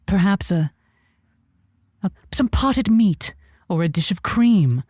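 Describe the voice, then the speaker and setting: A woman's voice: medium pitch, animated, slow pace, warm tone, no room sound, clean studio sound. Theatrical female radio advice host. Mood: earnest advice. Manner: theatrical, punning, coaxing. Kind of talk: comedic radio show acting.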